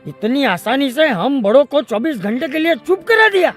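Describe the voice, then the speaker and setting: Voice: high voice, big pitch swings, normal speed, fairly bright tone. An actor voicing an authoritative old grandfather with a mock-solemn, preachy manner in a comedy cartoon. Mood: impressed. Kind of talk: animated comedy cartoon dialogue. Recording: music behind the voice.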